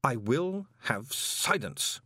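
A man voicing a calm, commanding ruler who speaks in a measured, quietly menacing tone. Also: medium pitch, dry recording, clean studio sound. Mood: cold.

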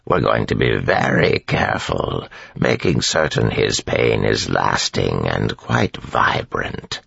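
Man putting on a gloating villain priest, very deep gravelly voice, slow and hushed, menacing, kinda dull audio. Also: sing-song, dry recording, full character voice. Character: cruel scheming male priest, villainous and gloating.

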